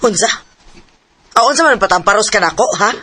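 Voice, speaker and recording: Sharp-voiced domineering Cebuano mother. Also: medium pitch, expressive, a little fast, a little hiss or hum. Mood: harsh, bossy. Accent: Cebuano Filipino. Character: domineering, scheming middle-aged mother, a bully. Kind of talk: Cebuano radio-style melodrama acting.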